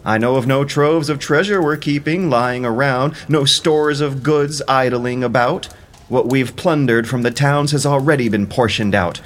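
A man's voice: medium pitch, moderate pace, lightly acted. A man playing a proud young Greek warrior in an epic audio drama, impassioned, declamatory and scornful. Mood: indignant, challenging.

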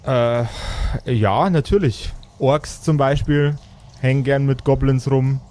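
A man narrating an improvised tabletop game as game master, halting as he searches for words. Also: mid-range voice, darkish tone.

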